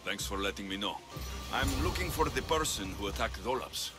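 A man's voice: lowish voice, animated, fairly quick, close to an everyday voice. An actor playing a young, determined and curt male Greek mercenary adventurer in a video game. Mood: determined, probing. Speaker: playable protagonist. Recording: music under the voice. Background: background music.

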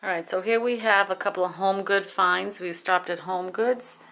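A woman's voice: medium pitch, moderate pace, background noise. A woman vlogger chatting enthusiastically as she shows off food, a farm market and shopping finds. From New England. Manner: chatty, enthusiastic show-and-tell. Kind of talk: casual vlog narration. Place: farm market barn and home table.

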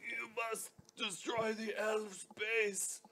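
A man's voice: high voice, little pitch movement, slow, sharp tone, a little hiss or hum, exaggerated voice. A man playing a drunk, smelly old Father Christmas in a live radio play, hamming it up with a theatrical manner. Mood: dramatic dying. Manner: theatrical, hammy. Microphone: headset.

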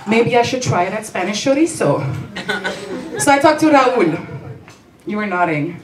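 A woman's voice: mid-range voice, animated, a little slow, a crowd in the background. A young woman with a Belgian accent tells stand-up stories in a playful, performative way, acting out dialogue. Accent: non-native English, Belgian. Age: young adult. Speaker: stand-up comedian. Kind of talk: stand-up comedy storytelling. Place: small comedy club stage, indoors. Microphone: handheld stage mic, recorded by distant camera. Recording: roomy, distant, noisy club recording.

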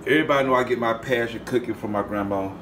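A man's voice: mid-range voice, fast talker, noisy background. A man from the South, chatting casually as he cooks in his home kitchen and talks straight to his viewers. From Southern United States. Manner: relaxed, chatty, addresses viewers as "y'all". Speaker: cooking channel host. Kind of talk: casual cooking vlog narration.

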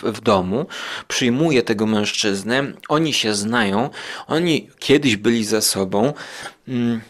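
A man hosting a podcast, casually commenting and often searching for words. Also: medium pitch. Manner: hesitant, searching for words, enthusiastic. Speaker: podcast host.

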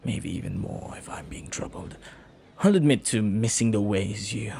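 Man acting a low-voiced, tender pirate captain. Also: vocal fry. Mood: playful, teasing. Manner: soft, halting, tender, sometimes near whisper. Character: flirty, earnest male pirate captain, devoted and playful. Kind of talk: intimate audio roleplay acting.

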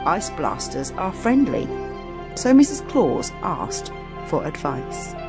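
A woman reading a children's story aloud as dramatic, expressive, suspenseful narration. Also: on the low side, slow pace, vocal fry. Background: music.

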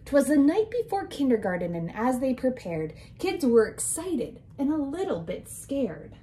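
Animated, high-voiced young woman reading to children. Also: a little slow, a little hiss or hum. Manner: expressive, sing-song, addressing young children. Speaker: kindergarten teacher. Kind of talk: children's picture book read-aloud. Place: small indoor room with decorated walls.

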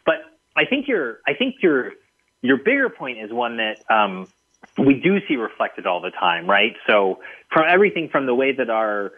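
A man's voice: mid-range voice, sing-song, moderate pace. A man being interviewed as a book's author, answering thoughtfully with many fillers and restarts. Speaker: guest author. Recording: tinny phone-line audio.

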